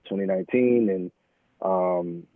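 An American man speaking candidly and informally as an interview guest, halting often and peppering his talk with "man" and "you know". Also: deep voice, dry recording. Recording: thin phone-like line, dry.

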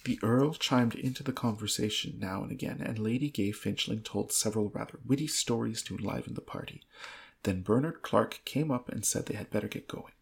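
A man's voice: on the low side, brisk, vocal fry, breathy edge. A man narrating a story aloud as a dramatic reading on a book podcast, with comic asides.

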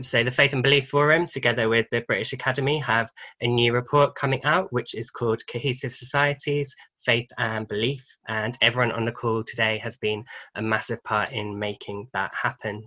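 Male host, friendly informal intros, bright forceful tone. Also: medium pitch, muffled recording. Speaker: host, programmes manager. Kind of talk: hosting a panel discussion.

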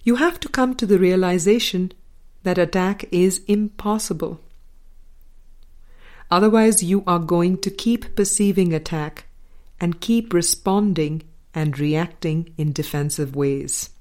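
A woman reading a scripted spiritual dialogue aloud in a measured, deliberate way, pausing between short phrases. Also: lowish voice, a little mellow. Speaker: audiobook reader.

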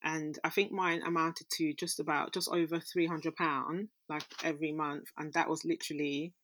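Low-voiced young woman, casual interview guest. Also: flat delivery, normal speed, a bit bright, slight background noise. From Austria. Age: young adult.

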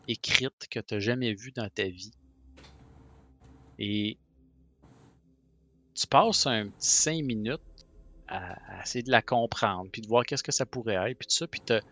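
A man's voice: medium pitch, a bit bright. A man with a Quebec French accent, narrating as game master in a casual voice call, chatty, with many fillers.

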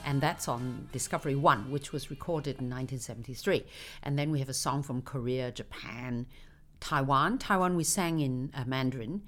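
Older lady, deep-ish voice, telling old stories and laughing, bit of quiet music under it. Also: moderate pace. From Hong Kong. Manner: anecdotal, laughs between stories. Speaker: singer interviewed as guest. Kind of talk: reminiscing interview.

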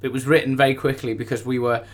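A man's voice: medium pitch, level tone, fast. Effusive, chatty man in interview. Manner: effusive, rambling, repeats phrases. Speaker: singer-songwriter guest.

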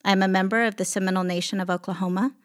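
A woman from Alaska speaking reflectively as an interview guest, in deliberate, measured phrases. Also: medium pitch, steady tone. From Alaska, United States. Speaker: podcast guest, executive director of an arts venue.

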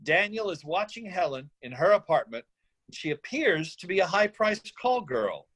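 Higher-pitched man reading stage directions. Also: big pitch swings, normal speed, a bit bright, husky, poor audio. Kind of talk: scripted narration of screenplay.

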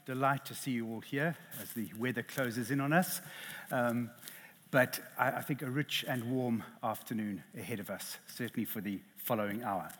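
A man's voice: mid-range voice, normal speed, fairly bright tone. A middle-aged South African man chairing a literary panel, self-deprecating and hesitant, with many restarts. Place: lecture hall. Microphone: headset. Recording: reverberant hall.

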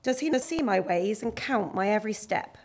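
Husky woman reading scripture. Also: mid-range voice, expressive, a little fast. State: husky from singing. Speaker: scripture reader. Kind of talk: church Bible reading.

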